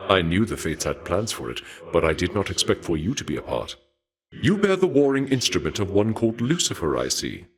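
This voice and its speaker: A man voicing a courteous, regal god of war in a video game, speaking formally in archaic, measured phrases with a dark fascination for death. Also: low-pitched, huge pitch swings, exaggerated voice. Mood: admiring, intrigued. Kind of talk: scripted video game character dialogue.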